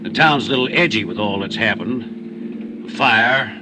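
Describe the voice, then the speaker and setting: A man's voice: lowish voice, measured pace, close to an everyday voice. An American actor playing a wary small-town construction foreman in a 1950s radio drama, plain-spoken and guarded. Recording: noisy vintage radio recording.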